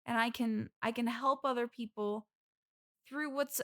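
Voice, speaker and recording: Woman doing a lonely clingy bridesmaid on a comedy podcast, really high voice w lots of fry, earnest and rambly. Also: fairly even intonation, unhurried, fairly bright tone. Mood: earnest, wistful. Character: needy, lonely, chirpy expert bridesmaid desperate for friends. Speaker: podcast guest. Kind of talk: improvised comedy podcast interview.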